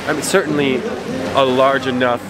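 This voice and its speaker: A man's voice: mid-range voice, level tone, normal speed, over loud music. An American man talking casually in a street interview, chatty and rambling with lots of fillers. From United States. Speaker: passerby interviewee. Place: outdoors on a busy street.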